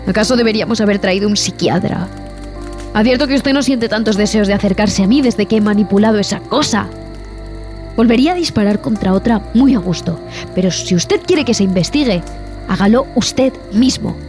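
An actress playing a frightened, repulsed expedition zoologist, narrating a horror audio drama in a deliberate, theatrical way with dramatic pauses. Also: female voice, medium pitch, darkish tone, natural voice. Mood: agitated, defiant. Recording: long reverb, music behind.

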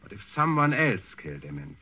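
An actor playing a commanding, suspicious Nazi governor in 1940s radio drama, curt and impatient. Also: male voice, fairly low pitch, very animated, fairly quick, slightly rough. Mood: calculating. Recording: tinny old 1940s radio recording.

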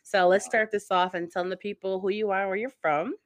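A woman's voice: medium pitch, steady tone, fairly quick, no echo, clean studio sound. A woman in her thirties from Connecticut, hosting a casual interview show in a chatty, upbeat way and laughing often. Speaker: podcast host.

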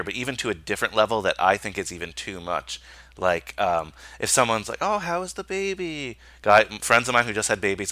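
A man co-hosting a podcast, talking casually and haltingly with many fillers and restarts. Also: on the low side, sharp tone, no room sound. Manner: hesitant, filler-heavy, self-searching. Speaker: podcast co-host. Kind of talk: casual chat.

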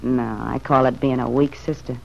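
A woman's voice: very deep voice, fairly even intonation, normal speed, gravelly, full character voice. An actress in an old radio drama playing a world-weary, slangy, street-wise woman who speaks with raw emotion. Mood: earnest. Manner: slangy, emotional, hushed then flaring. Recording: dull, noisy vintage radio recording.

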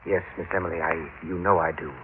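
Radio-play butler played by a man: low voice, outwardly deferential, quietly scheming, on a tinny old broadcast recording. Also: level tone, fast talker, background noise, lightly acted. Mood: reassuring, deferential. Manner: deferential, insinuating. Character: servile, scheming butler, soft-spoken and coldly calculating. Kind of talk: old-time radio drama acting.

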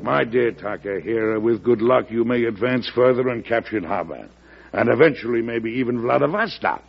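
An actor playing a forceful, shrewd American president in an old radio drama, frank and emphatic, with an American accent. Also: male voice, mid-range voice, moderate pace, vocal fry, soft background music, lightly acted. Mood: persuasive. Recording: muffled vintage radio broadcast recording.

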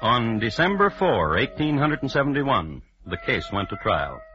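A man playing a dry, formal crime historian, narrating in deadpan 1950s radio style. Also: medium pitch, normal speed, music in the background, close to an everyday voice. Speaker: narrator and host. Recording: muffled vintage radio broadcast recording.

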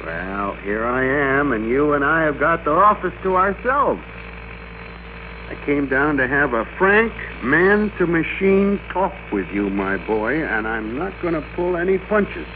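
An actor playing a meek middle-aged American office worker turned blustering, bossy master, in emphatic classic radio drama style. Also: male voice, on the high side, sing-song, measured pace. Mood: gloating, threatening. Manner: emphatic, theatrical, commanding. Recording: muffled classic radio recording with hum.

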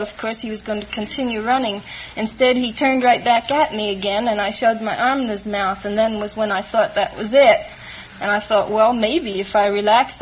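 A woman speaking conversationally as a contestant on a classic radio show, heard on an old recording. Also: fairly high pitch. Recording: dull vintage radio recording, faint noise.

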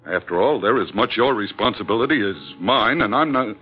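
Blustery first-mate type, lowish voice, very animated, earnest, old radio drama, muffled sound. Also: male voice, brisk, breathy edge, faint music behind. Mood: aggrieved, earnest. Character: earnest, blustery male sailor, loyal second to the skipper. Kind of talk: 1940s-50s radio adventure acting. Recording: dull old radio recording.

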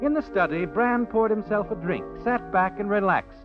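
An actor voicing a sardonic American storyteller of sinister crime tales, knowing and dramatic, in 1940s radio suspense style. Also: male voice, sits high, lively intonation, normal speed. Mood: knowing, ominous. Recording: muffled vintage radio recording. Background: dramatic music.

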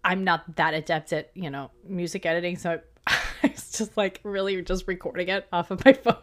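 A woman's voice: on the low side, steady tone, moderate pace. Chatty, laughing woman podcast co-host. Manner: chatty, laughs often between remarks. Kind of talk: casual chat recapping episodes.

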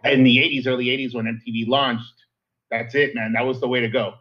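Joking, animated man, dull lo-fi sound. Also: medium pitch, brisk. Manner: animated, joking, talks with emphasis. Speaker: podcast co-host. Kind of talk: casual chat.

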